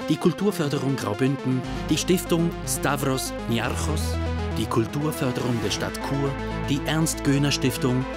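A man calmly reading the end credits of a podcast drama. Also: medium pitch, unhurried. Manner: calm, announcing. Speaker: credits announcer. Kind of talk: read credits. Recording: loud music underneath.

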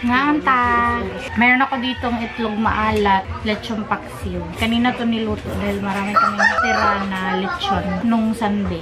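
A young woman vlogger with a Filipino accent talks casually to her camera. Her voice sits at an ordinary middle pitch, and she sounds like she is telling viewers about her day. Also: moderate pace. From Philippines. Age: young adult. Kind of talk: casual vlog chat. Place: home kitchen, indoors, later out travelling.

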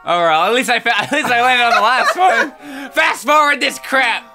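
A man's voice: very high voice, moderate pace, loud music behind the voice. A man co-hosting a let's play, chatting casually and yelping and laughing when he misses jumps. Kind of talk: casual gameplay banter.

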